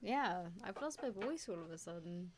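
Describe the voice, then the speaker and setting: Hoarse woman hosting a live stream. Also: mid-range voice, lively intonation, moderate pace, crowd noise. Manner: chatty, greets viewers by name. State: hoarse, losing her voice. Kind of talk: casual live stream chat.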